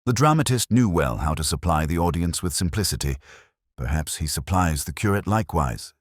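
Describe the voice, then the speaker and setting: A man voicing a calm, unhurried, gently authoritative police inspector in a mystery audiobook. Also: deep voice, no room sound, studio quality. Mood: soft, reflective. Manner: calm, measured, philosophical. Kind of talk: mystery audiobook character dialogue.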